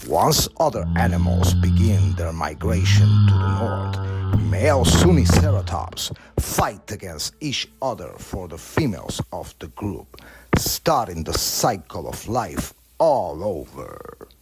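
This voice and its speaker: A man's voice: deep voice, big pitch swings, slow, music in the background. A man reading scripted narration in the style of a nature documentary.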